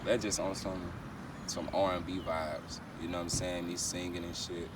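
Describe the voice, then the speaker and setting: A man's voice: deep voice, little pitch movement, moderate pace. A man with a Louisiana accent chatting casually and slangily in an interview, often repeating his phrases. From Baton Rouge, Louisiana. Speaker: R&B singer and rapper being interviewed. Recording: distant mic, loud outdoor street noise.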